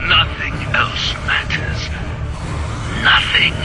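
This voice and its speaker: An actor playing a grim, defiant superhuman warrior in an audio drama, with emphatic battle-cry delivery. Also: male voice, lightly acted. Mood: grim resolve. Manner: slow, emphatic, shouted battle declarations. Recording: loud music behind.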